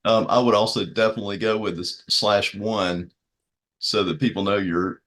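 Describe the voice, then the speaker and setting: A man giving advice in a casual online discussion, with halting, tentative phrasing. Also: lowish voice, fairly even intonation, fairly bright tone. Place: online video meeting.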